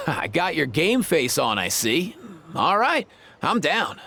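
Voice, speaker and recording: A man playing an easygoing, upbeat young bro in a video game, with a breezy, slangy, slightly husky delivery. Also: a little high, huge pitch swings, slow pace, pushed and bright, slight background noise, full character voice. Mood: playful. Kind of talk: animated video game acting. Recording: faint game music under the voice.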